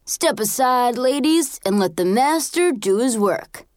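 A woman's voice: fairly high pitch, lively intonation, a little slow, darkish tone, dry recording, studio quality. A woman voicing a mischievous, cocky older brother who talks with mock drama, in a storybook reading. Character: mischievous older brother, cocky boy playing army commander.

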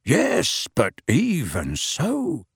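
A man voicing a kindly, eager middle-aged father in an audiobook, speaking gently and reassuringly in a measured way. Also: mid-range voice, sing-song, husky, no echo, studio quality, exaggerated voice. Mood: awed, delighted.

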